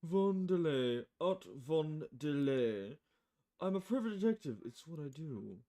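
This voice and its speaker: A woman plays a male private eye from a noir visual novel. The voice is dark and mellow, with a grumbling, impatient manner as he fishes for information. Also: low voice, animated, a little slow. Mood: matter-of-fact. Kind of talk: visual novel character voice, film noir dialogue.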